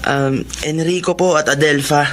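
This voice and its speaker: An actor playing a polite, humble young Filipino student in a radio drama, respectful and earnest. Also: male voice, a little high, steady tone, moderate pace, lightly acted. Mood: polite, puzzled. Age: young adult.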